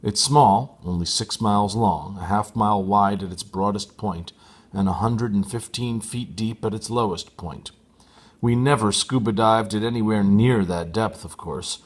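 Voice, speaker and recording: Male storybook narrator, lowish pitch, deliberate and expressive read. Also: slightly rough. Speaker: storybook reader. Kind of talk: expressive audiobook narration for young readers.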